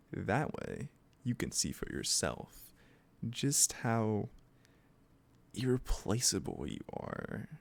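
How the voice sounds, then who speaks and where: Man playing a gravelly, doting, obsessive kidnapper. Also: on the low side, darkish tone, heavily acted. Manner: gushing, coaxing, halting asides. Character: obsessive, doting yandere kidnapper, male, sweetly menacing. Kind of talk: scripted roleplay audio, intimate one-sided dialogue.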